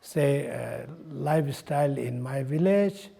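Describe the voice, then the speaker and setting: Halting older man, slow and mellow. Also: medium pitch, animated, gravelly, breathy edge. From Nepal. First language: not English. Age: 60s. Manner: halting, searching for words, frequent pauses. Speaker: Buddhist monk in charge of a monastery. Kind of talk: unscripted explanatory talk.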